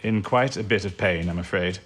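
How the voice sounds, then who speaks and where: An actor playing a courteous, grave wartime intelligence chief, measured and understated, in an audio drama. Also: male voice, deep voice, fairly bright tone, husky.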